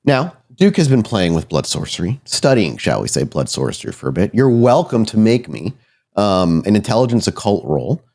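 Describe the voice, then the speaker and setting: A man working as game master, improvising suspenseful, deliberate narration for a horror tabletop roleplaying game. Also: on the low side, very animated, darkish tone. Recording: music behind the voice. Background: background music.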